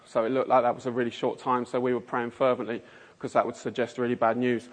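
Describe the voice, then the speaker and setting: A man's voice: medium pitch, level tone, fast, in a large hall. A man in his forties from Kent with a Mockney, south-east English accent, preaching an informal sermon in a chatty, rambling, self-mocking way with plenty of fillers. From Kent, England. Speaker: lay preacher. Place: church.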